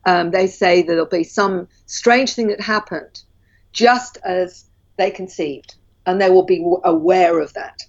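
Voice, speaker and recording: A woman with a low-pitched voice explains her ideas in a measured way, often pausing on ums. Her voice comes through a dull, lo-fi line. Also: steady tone. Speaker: guest. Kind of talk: explanatory interview talk.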